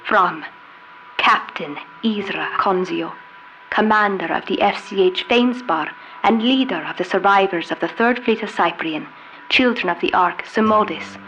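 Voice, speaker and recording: A woman playing a solemn starship captain who leads survivors, delivering a formal, measured message in an audio drama. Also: medium pitch, fairly bright tone, a little hiss or hum, natural voice, low-quality recording. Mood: solemn, resolute. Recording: music under the voice.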